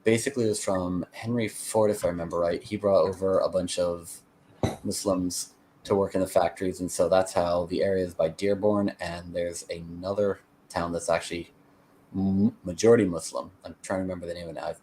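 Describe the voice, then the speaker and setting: Male, American, low register. Interview guest who explains and meanders as he tells his story. Slight background noise. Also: steady tone, fairly quick, warm tone. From United States (Connecticut). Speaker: interview guest, peace activist. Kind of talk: conversational storytelling.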